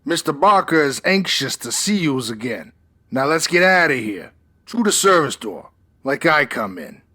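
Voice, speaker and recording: A man's voice: on the high side, very animated, moderate pace, sharp tone, heavily acted. A man playing a menacing but comical gangster henchman in an audio drama, making mock-polite threats in streetwise, ungrammatical slang. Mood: threatening. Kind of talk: old-time radio gangster acting.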